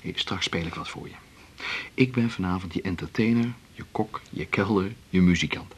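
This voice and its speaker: An actor with a Netherlands Dutch accent playing a playful, romantic cellist hosting a dinner date in a 1980s radio drama. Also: male voice, deep voice, moderate pace. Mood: musing, playful. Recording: 1980s radio recording, clear background noise.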